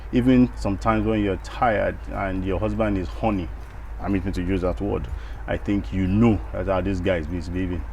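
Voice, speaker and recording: A man's voice: deep voice, a little mellow. A Nigerian-accented man giving his opinion conversationally in an interview. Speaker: street interviewee. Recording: faint background noise.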